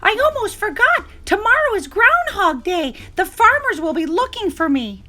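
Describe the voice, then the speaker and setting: A woman voicing a shy, excitable young male groundhog in a children's storybook. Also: very high-pitched, moderate pace, faint music behind, voice pushed up, exaggerated voice. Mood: excited. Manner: stammers when frightened, exclaiming.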